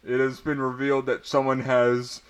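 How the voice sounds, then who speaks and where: A man's voice: medium pitch, completely flat delivery, moderate pace, clean tone, lightly acted. A man voicing an angry, solemn old wizard headmaster who makes grave pronouncements in a comic story reading.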